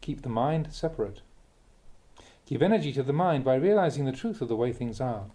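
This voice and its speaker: A man reading a Buddhist teaching aloud as a Dhamma talk, in measured, deliberate phrases with emphatic contrasts. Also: medium pitch, lively intonation, warm tone.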